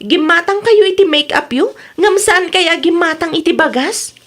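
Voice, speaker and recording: Actress as a grown daughter, very high voice, Ilocano accent, earnest, concerned, scolding, radio drama. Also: female voice, moderate pace, fairly bright tone. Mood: worried, scolding. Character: concerned, scolding grown daughter who supports her parents.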